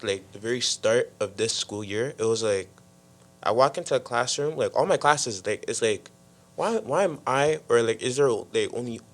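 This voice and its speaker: A teenage boy speaking casually on a youth podcast, halting and leaning on "like" and "I feel like". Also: medium pitch, lively intonation. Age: teens. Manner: halting, heavy use of "like" and "I feel like". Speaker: podcast panelist. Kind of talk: casual discussion.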